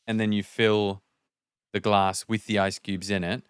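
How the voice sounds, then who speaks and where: A man's voice: lowish voice, flat delivery, unhurried, smooth, no echo, clean studio sound. A man talking casually as a podcast co-host, joking and a little self-deprecating.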